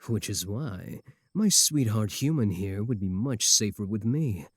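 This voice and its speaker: Sardonic male werewolf suitor, played by a man, comedic ASMR roleplay: slightly deep, possessive, taunting, recorded dry and close. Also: expressive, a little slow, slightly rough, no echo. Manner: taunting, sardonic, doting on the listener.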